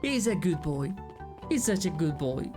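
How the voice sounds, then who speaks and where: A woman's voice: sits low, sing-song, measured pace. Woman streaming a game, music behind her. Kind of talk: live let's-play, reading visual novel dialogue aloud and commenting.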